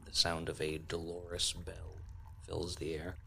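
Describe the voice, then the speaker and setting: A man with a deep, creaky voice and a sharp, forward edge plays a tabletop game. He speaks casually, like one friend to others at the table. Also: completely flat delivery, unhurried, a little hiss or hum.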